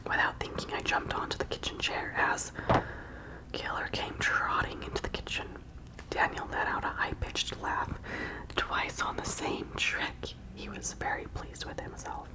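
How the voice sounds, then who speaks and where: A woman narrating a children's story in a breathy ASMR whisper, expressively acting out the dialogue. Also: measured pace, poor audio. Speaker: ASMR host reading aloud.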